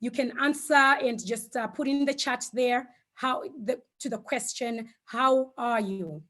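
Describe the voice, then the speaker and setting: A woman, a Shona speaker, giving an informal online presentation in a reflective, hesitant way, often pausing mid-thought. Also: a little high, a bit bright. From Zimbabwe. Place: online video call.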